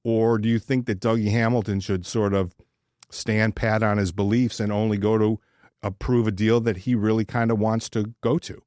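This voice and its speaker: A man co-hosting a podcast, giving his opinions in casual sports talk with an earnest, emphatic delivery that leans on pauses and repetition. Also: lowish voice, level tone, a little mellow, dry recording, clean studio sound. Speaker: podcast co-host.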